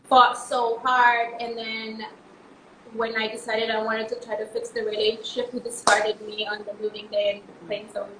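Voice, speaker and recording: A woman guest telling her personal story haltingly, with many fillers and restarts, her emphasis rising on key words. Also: fairly high pitch, level tone, pushed and bright, a little hiss or hum. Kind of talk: emotional personal testimony. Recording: roomy echo.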